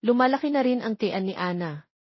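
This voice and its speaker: A woman's voice: a little high, expressive, a little fast, slightly rough. A woman reading a romantic story as a scripted audiobook narration. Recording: roomy, slightly dull recording.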